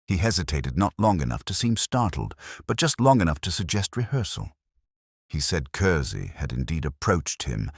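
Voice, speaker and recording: A man narrating a first-person detective story in a measured, literary and solemn manner. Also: a bass voice, dry recording, clean studio sound.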